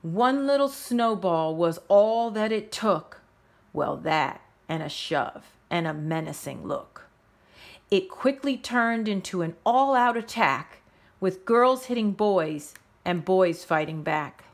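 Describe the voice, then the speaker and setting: A woman reading a rhyming children's story aloud in a measured, expressive storytelling manner. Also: mid-range voice. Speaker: storybook reader. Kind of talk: children's read-aloud narration in rhyme.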